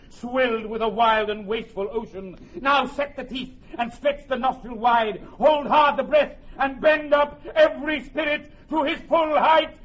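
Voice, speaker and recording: An actor playing a heroic, commanding young English warrior king, declaiming in a rousing Shakespearean radio drama style. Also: very high voice. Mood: intense, measured. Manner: declamatory, rousing, drawn-out emphatic phrases. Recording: muffled old radio recording.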